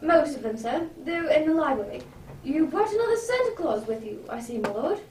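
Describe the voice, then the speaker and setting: A deferential household butler acted by a woman in a radio play. The voice is high, heard from a distance in an echoing room. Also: expressive, fairly quick, darkish tone. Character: deferential male butler in a Scottish castle. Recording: roomy, distant, faint noise.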